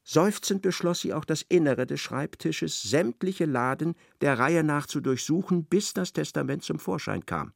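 A man's voice: a little high, expressive, moderate pace, gravelly, hardly any pauses, studio quality. A man narrating a literary radio drama as a wry storyteller who echoes an aggrieved wife's thoughts. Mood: measured, grave.

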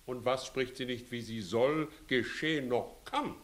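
An actor playing an evasive, flustered village judge who swings theatrically between bullying and coaxing in a classic German radio comedy. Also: male voice, medium pitch, unhurried, fairly bright tone, natural voice. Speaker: judge in a courtroom.

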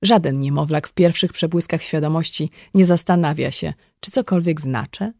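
A woman reading a scripted nonfiction audiobook in a measured, articulate manner. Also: sits low, vocal fry, darkish tone, no echo, studio quality. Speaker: audiobook narrator.

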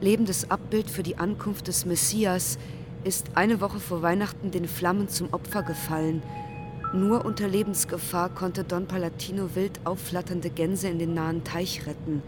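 A woman's voice: on the low side, fairly even intonation, normal speed, over music, lightly acted. A woman acting as a composed, formal, matter-of-fact news reporter in a German radio drama.

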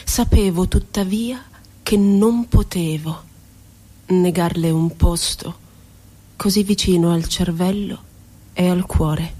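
A woman's voice: on the low side, warm tone. Wounded, ironic woman poet. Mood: wistful, solemn. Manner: deliberate, poetic delivery with drawn-out words and rhetorical questions. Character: woman poet recalling her years in an asylum, wounded and ironic. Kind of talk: theatrical radio monologue.

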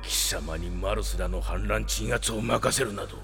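Old dark sorcerer for radio drama, imperious, menacing, creaky voice, heavy reverb. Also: male voice, on the low side, moderate pace. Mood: stern, disapproving. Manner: imperious, archaic formal speech. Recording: long cavernous reverb, loud music behind.

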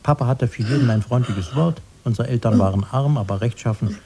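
An actor playing a protective, simple-minded and haunted grown-up brother in a radio drama, halting and repetitive, soothing one moment and evasive the next. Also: male voice, medium pitch, warm tone, a little hiss or hum, close to an everyday voice. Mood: earnest, reminiscing. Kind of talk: 1980s German radio drama acting.

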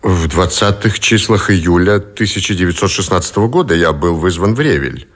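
An actor playing a captive middle-aged admiral who gives formal, measured, dignified testimony in a radio play. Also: male voice, sits low, animated, a bit bright. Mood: formal, composed.

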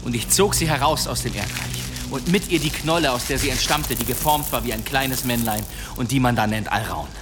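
A man's voice: mid-range voice, brisk, few pauses, music in the background, natural voice. An actor playing a boastful medieval demon hunter, telling his tales in a courtly, suspenseful manner in a German radio drama. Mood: dramatic, gripping. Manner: theatrical, suspenseful, courtly delivery.

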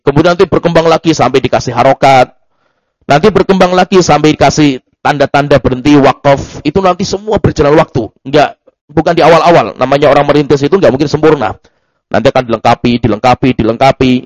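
A man's voice: medium pitch, no echo, poor audio. A man giving a loose religious study lecture, often restarting words and adding asides. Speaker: Islamic teacher.

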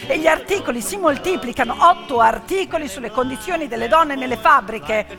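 A woman performing emphatic theatrical storytelling, with rhetorical questions and dramatic pauses. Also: high-pitched, expressive. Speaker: stage storyteller, actress. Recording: long hall reverb, music behind. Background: piano music.

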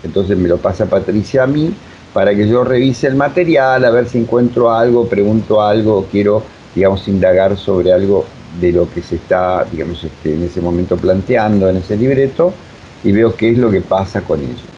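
An Argentine man explaining his work as a director in an interview, haltingly and repeating words as he goes. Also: fairly low pitch, dark tone. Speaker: radio drama director being interviewed. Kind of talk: unscripted interview answers. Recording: dull lo-fi audio, faint hiss.

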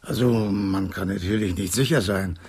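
An actor playing a gruff, self-assured veterinary dentist in a radio play, speaking dryly and deliberately with a gravelly, husky voice. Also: male voice, sits low, darkish tone. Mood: pondering, emphatic. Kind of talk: 1990s German radio play acting.

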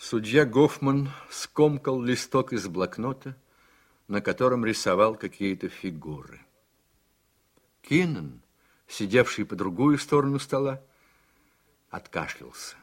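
A man reading scripted literary narration for a radio play in a measured way. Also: medium pitch, animated.

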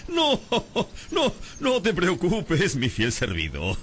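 An actor in a radio drama playing a proud, impoverished gentleman obsessed with honour, speaking in a grandiose, courtly manner. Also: sits high, huge pitch swings, takes it slowly, slight background noise, exaggerated voice. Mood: amused, reassuring. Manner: grandiose, courtly, old-fashioned phrasing. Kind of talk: scripted radio drama acting.

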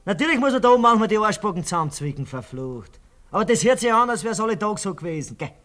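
An Austrian tunnel worker, a man with a high-pitched voice. He is earthy, blunt and sardonic, talking colloquially in a radio play. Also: sing-song, quick pace, fairly bright tone. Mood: scornful, grumbling. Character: gruff, scoffing tunnel labourer, a man. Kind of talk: radio drama dialogue, colloquial.

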